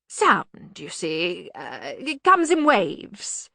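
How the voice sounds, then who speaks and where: A woman acts out a sensible male academic wizard in a comic audiobook. He picks his words diplomatically, hesitant and deferential to his superior. The voice is crisp and bright, recorded dry. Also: fairly low pitch, huge pitch swings, no room sound, full character voice. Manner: halting, deferential, resigned. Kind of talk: acted audiobook dialogue.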